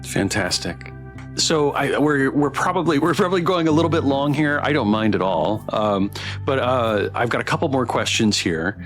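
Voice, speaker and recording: A man's voice: mid-range voice, expressive, normal speed, fairly bright tone. A man hosting a casual livestream question-and-answer session as interviewer. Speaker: host, interviewer. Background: background music.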